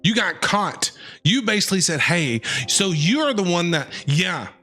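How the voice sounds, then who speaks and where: A man's voice: high-pitched, very animated, a little slow, bright, forceful tone, soft background music. A man streaming with casual, playful, chatty reactions to a game as he plays.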